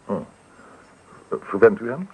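A courteous young practitioner in a radio drama. His voice is husky and on the low side, moving freely in pitch. He asks his questions politely, with small hesitations. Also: sing-song, slow, exaggerated voice, rough recording. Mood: polite, inquiring. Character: polite, inquisitive young male practitioner, freshly graduated. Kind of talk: Dutch radio play acting.

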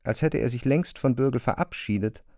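A man narrating a literary radio drama in measured, deliberate phrases. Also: mid-range voice, warm tone, no room sound, studio quality.

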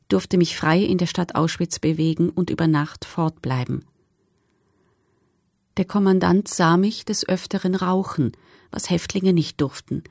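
A woman's voice: on the low side, gravelly, mellow, no echo, studio quality. A woman playing a former camp prisoner, giving sober, factual testimony in a documentary radio drama. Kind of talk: documentary radio drama, read witness statement.

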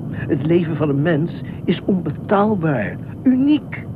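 A man's voice: a little high, big pitch swings, a little slow. Tinny noisy audio, eccentric middle-aged beach watchman, officious, rambling, indignant, radio play. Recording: noisy, tinny phone-like radio drama recording.

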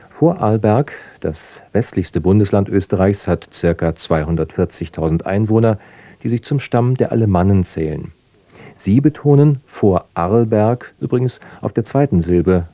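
Very deep, mellow male radio announcer. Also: no echo. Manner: measured, formal. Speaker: radio announcer introducing an audio drama. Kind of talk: scripted radio introduction.

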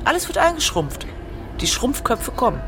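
An actress playing a blunt, outspoken executive who argues emphatically, scornful and full of English business jargon. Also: female voice, sits high, sing-song, normal speed. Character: combative, outspoken car sales executive abroad, scornful of cost-cutters. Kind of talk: documentary audio drama monologue. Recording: noisy urban ambience with vehicles.

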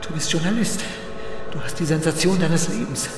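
A man's voice: a little high, normal speed, close to an everyday voice. An actor playing a desperate, unstable man holding others at gunpoint in a radio drama, his voice pleading and wavering. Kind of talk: radio play acting. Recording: roomy echo with music behind.

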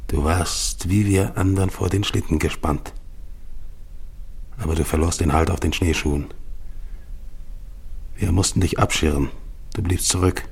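Radio drama: doomed polar expedition leader, very low, deeply husky, solemn and grieving, incantatory delivery. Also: male voice, heavily acted. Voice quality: gravelly, husky, at times whispered. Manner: solemn, incantatory, repeating phrases. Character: dying, grieving male polar expedition leader recounting his doomed march. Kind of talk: literary German radio play monologue.

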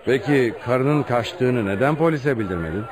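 A police superintendent acted by a man in radio theatre, with a middle-pitched voice. He is firm yet patient, speaking with measured authority and pressing like an interrogator. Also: lively intonation, crowd noise, natural voice. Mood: pressing, stern. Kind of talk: Turkish radio theatre acting.

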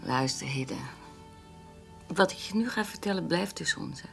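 A woman's voice: fairly low pitch, lively intonation, normal speed, vocal fry, a bit bright, faint music behind. An actress playing a frank, persuasive country woman in a radio play, confiding and insistent in plain words. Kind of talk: naturalistic radio play dialogue.

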